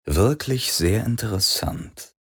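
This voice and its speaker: A man's voice: lowish voice, very animated, unhurried, darkish tone, heavily acted. A man voicing a smug, slyly genial antagonist in an audiobook, sardonic and probing, drawing out his words. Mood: probing, intrigued. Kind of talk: audiobook character voice.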